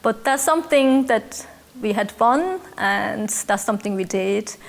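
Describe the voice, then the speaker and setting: Woman in her 40s, Korean accent, non-native English, reflective testimony, mid-pitched, unhurried, thoughtful pauses. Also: animated, vocal fry. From South Korea. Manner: reflective, measured, with hesitant fillers. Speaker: interviewee, church member. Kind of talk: personal testimony interview.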